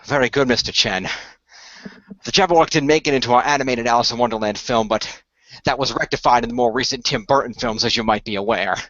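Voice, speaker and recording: A man playing a composed, knowledgeable leader of a secret hero team, speaking in measured, formal explanations. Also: mid-range voice, fairly bright tone, no room sound, lightly acted. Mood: dry, composed. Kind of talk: amateur radio play acting. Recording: dry, slightly muffled home recording.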